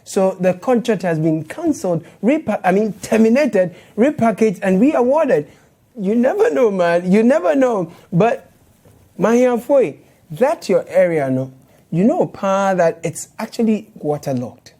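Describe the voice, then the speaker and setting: A man's voice: high voice, expressive, unhurried, dark tone. A young man with a Ghanaian accent hosting a satirical news show from a studio, playful and sarcastic. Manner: playful, sarcastic, laughs while talking.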